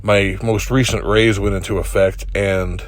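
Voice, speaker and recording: A truck driver with a head cold talking in a laid-back, joking way with plenty of swearing, in a casual driving vlog. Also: male voice, fairly low pitch, fairly even intonation, unhurried, no echo. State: congested with a cold.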